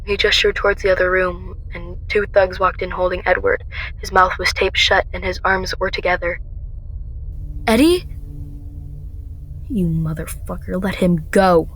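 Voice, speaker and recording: A woman's voice: on the low side, fairly even intonation, a little slow, pauses often, a bit bright, slight background noise, low-quality recording. A woman playing a defiant, haunted young woman on the run, telling her story earnestly in a radio drama. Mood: uneasy narration, then angry defiance. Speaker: lead actor and narrator in an amateur radio drama. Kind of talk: first-person dramatic narration and radio drama acting. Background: music.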